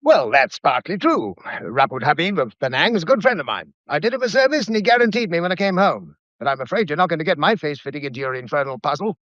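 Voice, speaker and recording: An actor playing a brash, sardonic explorer with an upper-class English accent, blustering in a radio drama. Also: male voice, fairly high pitch, sing-song, fast, few pauses, a bit bright, no room sound, lo-fi sound. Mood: mocking, indignant. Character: brash, sardonic male explorer under suspicion.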